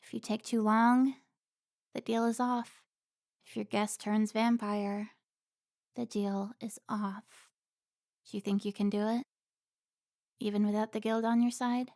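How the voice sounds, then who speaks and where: A woman's voice: a little high, level tone, unhurried, pauses often, a little mellow, no room sound, clean studio sound. A woman playing a stern, protective member of a vampire slayer guild in a cinematic audio roleplay, commanding and scolding. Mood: solemn.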